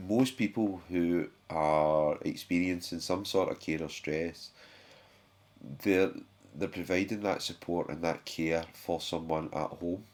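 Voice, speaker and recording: A man with a sore throat, hosting his own channel and talking casually to camera, with many fillers and restarts. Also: low-pitched. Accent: British. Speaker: YouTube channel host.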